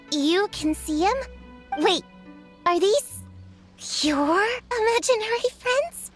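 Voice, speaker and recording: A woman voicing a small, excitable, childlike fairy companion in a video game, chatty and exclamatory. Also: extremely high pitch, heavily acted. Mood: curious, amazed. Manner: exclamatory, refers to herself in third person. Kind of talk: video game cutscene acting. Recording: music playing behind the voice.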